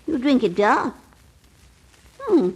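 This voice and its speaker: A woman's voice: high-pitched, big pitch swings, breathy edge, heavily acted. A woman playing an ancient, eccentric, kindly and sly aunt in a radio comedy, dithery and hesitant. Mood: coaxing, sly. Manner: dithery, hesitant with many ums and pauses.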